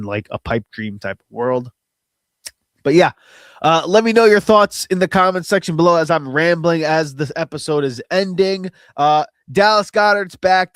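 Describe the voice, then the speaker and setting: A man's voice: medium pitch, no room sound, studio quality. A man hosting a podcast, talking through football opinions in a rambling, casual way, his voice nasal and stuffy from a cold. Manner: rambling, opinionated, self-deprecating. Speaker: podcast host. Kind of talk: casual solo sports commentary.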